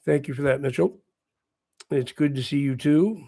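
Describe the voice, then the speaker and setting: A man with a hoarse voice from a recent bout of laryngitis, hosting a casual livestream and chatting quietly and encouragingly with his viewers. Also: fairly high pitch, moderate pace, dry recording. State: hoarse, recovering lost voice. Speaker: livestream host. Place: at home with morning coffee.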